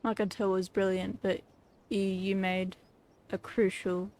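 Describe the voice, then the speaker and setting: A woman streaming a video game, commenting and haltingly reading dialogue aloud. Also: medium pitch, level tone, darkish tone. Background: game music.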